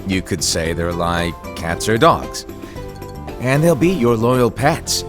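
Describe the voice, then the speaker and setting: A man's voice: lowish voice, big pitch swings, slow pace, music in the background. An actor playing a playful, mysterious male mentor in a video game cutscene, talking in a breezy, teasing way. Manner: breezy and teasing, then ominous warnings. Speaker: master of apprentices.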